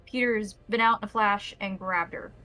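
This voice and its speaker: A woman's voice: medium pitch, fairly even intonation, moderate pace, pushed and bright. A woman narrating a tabletop roleplay and making rules calls as game master.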